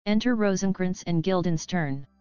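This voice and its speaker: A woman's voice: on the low side, moderate pace, darkish tone, clear, resonant tone. A woman narrator reading stage directions for an audio play. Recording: dull lo-fi sound, music behind.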